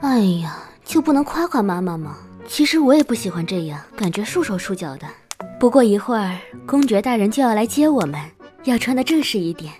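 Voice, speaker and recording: Sweet, high-voiced doting mother. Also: big pitch swings, a little fast. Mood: affectionate, playful. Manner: sweet, coaxing. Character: cheerful, doting young mother. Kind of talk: dubbed animated drama. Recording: loud music under the voice.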